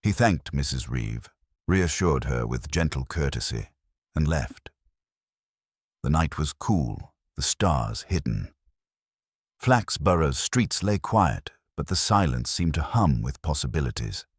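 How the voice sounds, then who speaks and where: A man with an extremely deep voice narrates a mystery tale in measured, literary fashion. His pitch moves in lively swings, and the sound is close and dry. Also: breathy edge, no room sound. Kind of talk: scripted mystery story narration.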